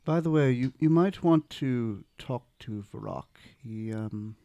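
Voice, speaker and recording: A man playing an earnest, awkward, idealistic young demigod in improvised tabletop roleplay, with halting, deliberate and solemn delivery. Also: medium pitch, dark tone, dry recording, lightly acted. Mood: reserved.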